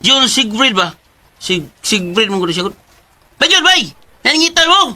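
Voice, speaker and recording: A man acting a loud, eager teenage schoolboy in a radio drama. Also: very high voice, sing-song, a little slow, exaggerated voice. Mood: excited.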